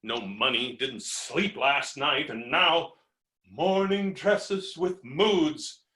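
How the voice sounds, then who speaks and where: A man's voice: medium pitch, slow pace, bright, forceful tone. A man playing a blustering, hot-tempered creditor in a theatrical stage comedy reading, ranting with exasperated, melodramatic exclamations. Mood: weary grumbling. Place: indoor room, recorded remotely. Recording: roomy, echoing virtual staged-reading recording.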